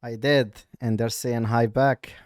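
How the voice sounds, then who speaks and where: A man with a Moroccan accent, live-streaming a casual, teasing chat, halting now and then with fillers. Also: medium pitch, steady tone, darkish tone, no echo, studio quality.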